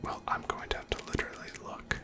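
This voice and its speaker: A person whispering ASMR gameplay commentary in a breathy, unvoiced voice, gently musing aloud. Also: quick pace. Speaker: video game streamer narrating own playthrough.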